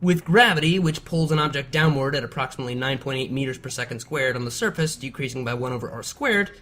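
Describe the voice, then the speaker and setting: A performer voicing an excitable, nerdy pony scientist, explaining precisely in a scripted educational dialogue. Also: mid-range voice, fast talker, a little hiss or hum, lightly acted. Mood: eager explaining. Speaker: science explainer in an animated analysis show. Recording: music behind the voice.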